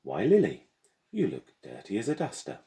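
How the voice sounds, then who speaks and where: A man's voice: fairly low pitch, huge pitch swings, moderate pace, dark tone, pitched above the performer's own voice. A man voicing a prim, smirking schoolgirl who teases with mock innocence in a storybook reading. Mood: teasing.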